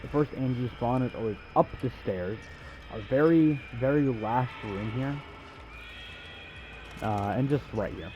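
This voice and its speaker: A man's voice: medium pitch, measured pace, pauses often, dark tone, muffled recording, slight background noise. A young man giving casual, sarcastic video game walkthrough commentary with crude jokes. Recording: game music under the voice. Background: game music and sounds.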